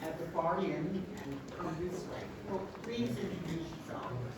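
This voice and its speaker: A woman moderating a panel, briskly calling on people to introduce themselves. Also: fairly low pitch. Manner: brisk, directive, calling on speakers. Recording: echoey room, distant mic, loud background noise.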